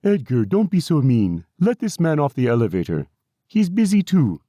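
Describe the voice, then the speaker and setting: A man voicing a brisk, reassuring female care worker in an audiobook. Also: on the high side, huge pitch swings, dark tone, no room sound, studio quality, pitched above the performer's own voice. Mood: mildly scolding.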